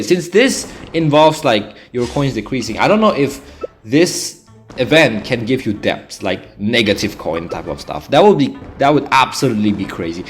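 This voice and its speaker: A young man, chatting casually through gameplay in a rambling way, full of fillers and restarts. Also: mid-range voice. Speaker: gaming channel host. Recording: game music behind the voice.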